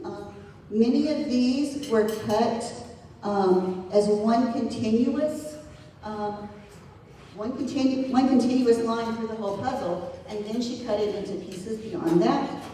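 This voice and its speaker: A woman's voice: on the high side, measured pace, dark tone. A woman presenting contributors to a live audience, speaking hesitantly with many fillers as she reads from notes. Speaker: event organizer presenting contributors. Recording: echoey hall, distant mic, faint noise.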